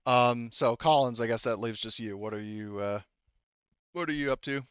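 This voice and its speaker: A man's voice: medium pitch, normal speed, fairly bright tone, smooth, dry recording. A man working as game master, narrating a tabletop game in a casual, hesitant way on slightly dull voice-chat audio.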